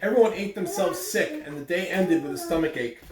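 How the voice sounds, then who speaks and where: A man's voice: high voice, fairly quick, crowd noise. Roomy, distant-sounding man reading a children's story. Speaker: school staff member reading aloud on morning announcements. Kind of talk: expressive children's read-aloud. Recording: roomy echo, distant mic, faint background noise.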